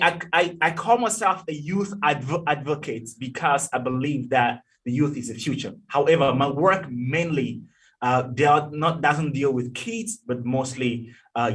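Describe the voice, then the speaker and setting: A man's voice: mid-range voice, unhurried, breathy edge, far from the mic. A man in his thirties from Togo, a guest telling his life story in an interview with emphatic repeats and checks like "okay?", on a video call from an indoor room. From Togo, West Africa. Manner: animated, emphatic storyteller who repeats words and says "okay?". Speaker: guest, youth advocate.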